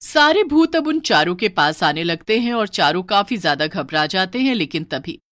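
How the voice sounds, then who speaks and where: A woman's voice: mid-range voice, sing-song, moderate pace, a bit bright, no room sound, studio quality. A woman narrating a scripted horror story in a dramatic storytelling style.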